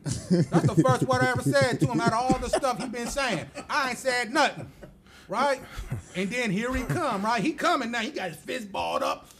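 A man's voice: extremely high pitch, big pitch swings, moderate pace. A middle-aged man from the United States who tells stories with energy as a podcast guest and acts out the voices of the people in them. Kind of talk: animated storytelling.

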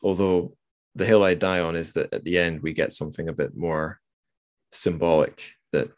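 A man with a notably deep voice speaks as a podcast host. He talks casually about books, rambling and musing, often looping back as he works out his thought. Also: flat delivery, normal speed. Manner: rambling and musing, thinks aloud in circling sentences. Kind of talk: casual literary discussion.

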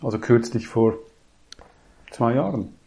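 A man's voice: mid-range voice, measured pace, vocal fry, mellow. A man hosting a podcast interview, asking loose, friendly questions in a casual way. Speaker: podcast host.

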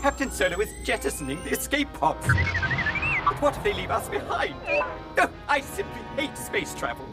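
An actor playing a prim, fretful protocol droid in a radio drama, formal and complaining in manner. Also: male voice, very high voice, huge pitch swings, normal speed, loud music behind the voice, full character voice. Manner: prissy, formal, complaining servant.

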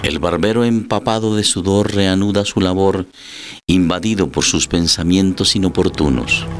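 A man's voice: deep voice, normal speed. A man reading scripted dramatic narration as the narrator of a story. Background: music.

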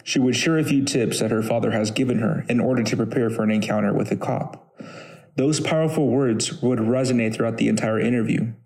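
A man's voice: medium pitch, steady tone, fairly quick, gravelly, darkish tone, poor audio. Man narrating with a mid-range voice. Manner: formal, read-out storytelling. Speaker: podcast host and narrator. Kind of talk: scripted narration.

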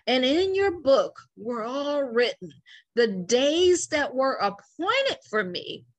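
A woman preaching to online viewers about faith and business, fervent and asking listeners to say lines back, her voice turning hoarse. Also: high-pitched, a bit bright, poor audio. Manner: fervent, calls for responses from listeners. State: hoarse, losing her voice and coughing.

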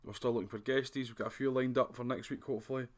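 A man's voice: mid-range voice, steady tone, rapid-fire. Scottish man hosting a chat podcast. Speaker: podcast host. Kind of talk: casual chat.

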